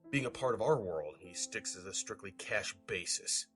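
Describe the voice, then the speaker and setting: A man's voice: fairly low pitch, lively intonation, moderate pace, music in the background, natural voice. A man playing a short-tempered, foul-mouthed criminal father in an audio horror story, with a growling, contemptuous delivery. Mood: irritated, scornful.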